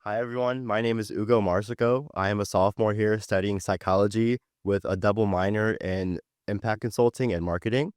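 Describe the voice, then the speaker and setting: A young man from Pennsylvania gives a brief, matter-of-fact introduction as a student panelist. His voice sits on the low side. The sound is dry and close. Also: fairly even intonation, a little fast, few pauses, smooth, dry recording. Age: 20s.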